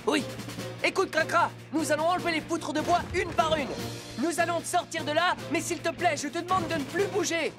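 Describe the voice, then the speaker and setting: A young police car who leads a cartoon rescue team. The high voice gives orders calmly and reassures kindly, over loud music. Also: female voice, brisk. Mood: reassuring. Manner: clear instructions, reassuring. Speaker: voice actor. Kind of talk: French children's cartoon dub acting.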